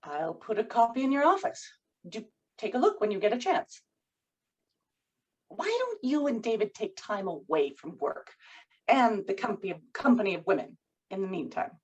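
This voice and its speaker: A woman's voice: mid-range voice, big pitch swings, normal speed, husky, highs cut off. A woman playing a poised, controlling writer's wife in a period drama table read, with formal, persuasive phrasing. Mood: poised, brisk.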